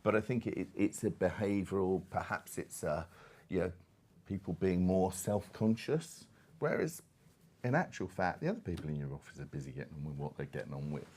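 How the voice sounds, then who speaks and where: Male panellist, middle-aged, deep voice, discursive expert talk, stop-start and emphatic. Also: lively intonation, brisk, darkish tone. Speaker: panel speaker.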